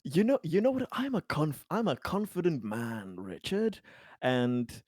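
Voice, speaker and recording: Man playing a self-assured, halting smooth-talker. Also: on the high side, sing-song, darkish tone, no echo, studio quality, pitched above the performer's own voice. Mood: blustering, amused. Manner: halting, repeats himself, chuckles. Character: glib, self-assured schemer in colonial politics, sociable and placating. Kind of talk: improvised in-character roleplay.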